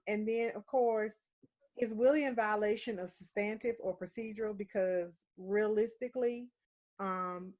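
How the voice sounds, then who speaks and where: A woman's voice: mid-range voice, darkish tone. Stuffy-nosed woman presenting on a video call. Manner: explanatory, measured, frequent "um" and "of course". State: stuffy nose from a head cold. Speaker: student presenter.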